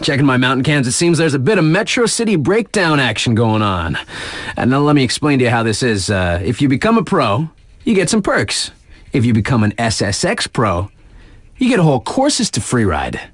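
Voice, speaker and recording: A man's voice: medium pitch, sing-song, a little fast, no room sound. An actor playing a laid-back, wry young male radio DJ for a snowboard game, with slangy, offhand, casual patter. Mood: laid-back, sardonic. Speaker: radio DJ on a ski mountain station.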